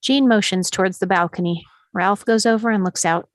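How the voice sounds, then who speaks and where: A woman's voice: medium pitch, fairly quick, no room sound. A woman reading stage directions as the narrator of a screenplay table read.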